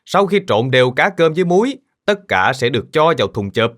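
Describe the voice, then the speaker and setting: Kindly uncle, lively teaching delivery. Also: male voice, medium pitch, fairly quick. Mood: patient, instructive. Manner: patient, instructive. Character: kindly older man patiently teaching children. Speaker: fish sauce maker explaining to children. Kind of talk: scripted cartoon explanation.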